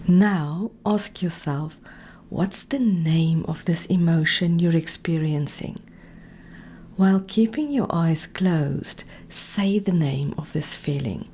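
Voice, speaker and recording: A woman speaking as a meditation coach, giving calm, gently directive guided instruction in a soft, breathy voice that often nears a whisper. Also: deep voice, slow, a little hiss or hum.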